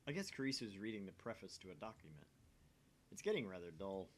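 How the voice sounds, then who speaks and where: A man's voice: fairly low pitch, sing-song, fast talker, slight background noise. A man reading narration aloud for a voice-acted game playthrough. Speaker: visual novel let's player reading aloud.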